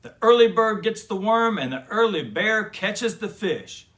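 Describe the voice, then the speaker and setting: A man's voice: high-pitched, animated, measured pace, fairly bright tone, voice pushed up. A man voicing a hearty, cheerful old grandfather bear in a children's storybook. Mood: hearty, rousing.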